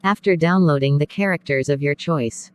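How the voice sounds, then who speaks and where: A narrator reading a scripted step-by-step software tutorial. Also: medium pitch, moderate pace, dry recording.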